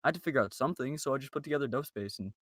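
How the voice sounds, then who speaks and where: A man's voice: medium pitch, expressive, rapid-fire, fairly bright tone, dry recording, clean studio sound. A man talking casually on a group podcast in an informal, chatty, emphatic way. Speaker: podcast member, amateur voice actor.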